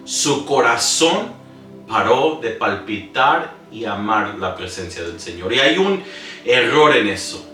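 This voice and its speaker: A man's voice: medium pitch, very animated, slow pace. Male pastor, earnest sermon delivery, recorded in a roomy space with music underneath. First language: English-dominant speaker preaching in Spanish. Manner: earnest preaching with scripture reading and slips. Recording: roomy, distant mic with soft music. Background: soft instrumental music.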